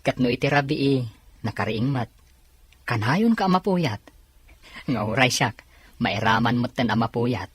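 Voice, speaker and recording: A woman acts a weary grumbler in an audio drama. Her voice is extremely low and husky, complaining dryly in a close, dry recording. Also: animated, a little slow, pauses often, dry recording, heavily acted. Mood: grumbling, tired.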